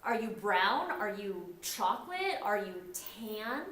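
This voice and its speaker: A woman's voice: medium pitch, sing-song, slow pace, a bit bright, slight background noise. A middle-aged woman from the United States teaching a class in a conversational way, often acting out the remarks she quotes. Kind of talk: recorded classroom lecture. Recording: echoey room.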